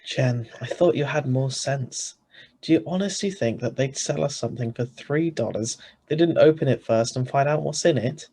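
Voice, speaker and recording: Radio drama husband, played by a man, mid-range pitch, wry, sardonic, exasperated, skeptical narrator. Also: normal speed, dark tone, husky. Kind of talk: radio drama script reading. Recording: live video-call recording.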